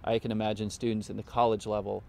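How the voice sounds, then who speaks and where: A middle-aged man talking as a professor in a conversational review, earnest and halting, often repeating words as he forms his thought. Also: on the low side, level tone, creaky, dark tone. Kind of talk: conversational interview, reflective review.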